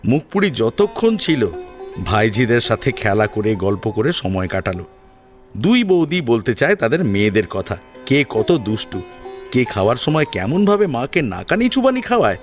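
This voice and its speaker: A man reads a dramatic story. His mid-range voice moves up and down expressively. Soft plucked sitar music plays behind him. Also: mid-range voice, big pitch swings, moderate pace. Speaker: story narrator. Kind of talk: dramatic audiobook story narration.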